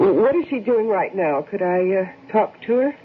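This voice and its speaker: A woman's voice: medium pitch, animated, moderate pace, close to an everyday voice. A woman playing an anxious, insistent housewife in a radio drama, homely and fretful in manner. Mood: concerned. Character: anxious, insistent housewife, a devoted mother and daughter. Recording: tinny, noisy old radio recording.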